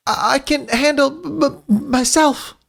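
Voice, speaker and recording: A man doing a plucky hurt young fox, high and strained. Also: steady tone, unhurried, creaky, fairly bright tone, exaggerated voice. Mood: weak, plucky.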